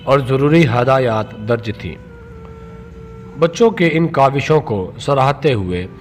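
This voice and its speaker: A man reading a scripted moral story for children as a storyteller, in a measured read-aloud manner. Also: medium pitch, a little mellow.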